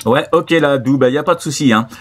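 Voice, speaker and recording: A man's voice: medium pitch, fast talker. Guy doing a casual ham radio chat, mid voice, repeats himself a lot, friendly sign-offs. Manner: repetitive, filler-heavy, friendly sign-offs. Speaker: amateur radio operator.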